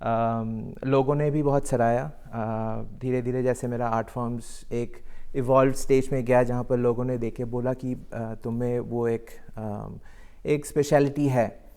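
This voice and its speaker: A man's voice: mid-range voice, steady tone, a little slow, dark tone, clean tone. Hesitant man mixing English phrases. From Delhi, India. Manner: hesitant, many fillers, mixes English phrases. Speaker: guest artist. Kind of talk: casual interview answers.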